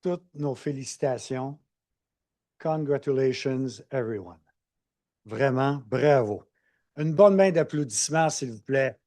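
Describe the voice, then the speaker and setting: Un homme anime une journée scientifique et présente les intervenants sur un ton formel et cérémonieux. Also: male voice, mid-range voice, expressive, takes it slowly, a little mellow. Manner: formal, ceremonious introductions. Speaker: event emcee. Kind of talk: formal event hosting. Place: conference hall.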